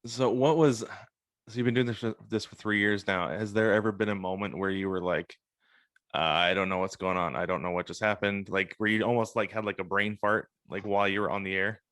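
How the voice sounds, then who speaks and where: A man's voice: lowish voice, steady tone, a little fast, a bit bright, no room sound. A man hosting a podcast, asking questions in an informal, slangy, casual interview style.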